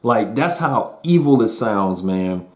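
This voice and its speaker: A man's voice: on the low side, animated, takes it slowly. A man giving a casual spoken music review in a laid-back, slangy way, peppering his talk with "man" and "um". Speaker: album reviewer.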